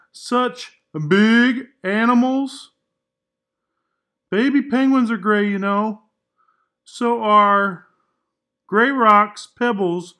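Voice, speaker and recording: A man voicing an exhausted, exasperated gray crayon from a storybook, complaining in a loud, strained way. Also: extremely high pitch, voice pushed up, exaggerated voice.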